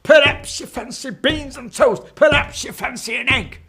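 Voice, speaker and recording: A man's voice: very high voice, normal speed, sharp tone, soft background music, pitched above the performer's own voice, exaggerated voice. A man voicing a greedy old female witch who gabbles her offers in a sing-song way. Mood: eager.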